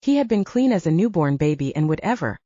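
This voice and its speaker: A woman's voice: fairly low pitch, sing-song, quick pace, dark tone, dry recording, lo-fi sound. A woman reading literary fiction as a scripted audiobook narration, moving between story and dialogue.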